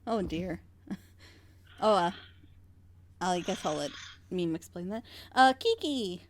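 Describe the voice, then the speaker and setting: Excitable, giggly woman hosting a group chat. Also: fairly low pitch, takes it slowly, a little hiss or hum. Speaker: host, co-director of a fan audio drama group. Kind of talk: casual group chat introducing a reaction.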